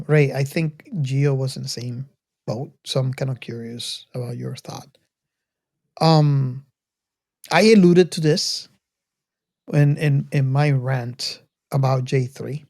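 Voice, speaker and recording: A podcast host speaking casually in a halting way, often restarting sentences, while leading a film discussion. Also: medium pitch, a little mellow, no room sound, studio quality.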